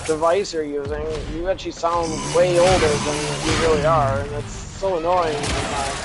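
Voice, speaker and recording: A man's voice: a little high, fairly even intonation, moderate pace, over loud music. A young man whose voice sounds younger than he is, chatting casually and self-deprecatingly while playing a game. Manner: rambling, self-deprecating, fillers and restarts. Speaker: gamer. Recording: loud game noise behind.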